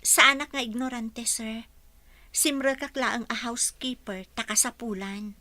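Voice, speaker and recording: High, bright young housekeeper played by a woman. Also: steady tone, a little slow, dry recording. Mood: meek, deferential. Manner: polite, deferential. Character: dutiful young housekeeper, devoted daughter, modest but plucky. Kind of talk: Ilocano radio drama acting.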